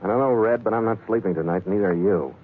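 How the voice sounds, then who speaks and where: A man's voice: lowish voice, quick pace, slight background noise, lightly acted. A man playing a tough, wary sea captain who narrates and acts in a 1940s radio adventure in a terse, wry manner. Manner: terse, hard-boiled, wry. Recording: narrow old 1940s radio recording, music.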